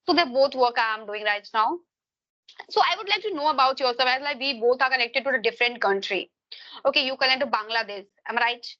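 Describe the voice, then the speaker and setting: An Indian woman with a high, bright voice talks through long, searching answers, her English full of small grammar slips. She is a teacher on a casual call, and her audio comes through muffled and lo-fi. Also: a little fast. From Uttar Pradesh, India. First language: Hindi. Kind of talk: casual video-call conversation.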